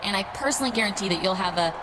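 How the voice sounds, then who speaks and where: A woman's voice: mid-range voice, animated, very fast, lightly acted. A woman playing a flirtatious, coaxing character in a wrestling video game. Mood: flirty, coaxing. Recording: noisy, with crowd behind.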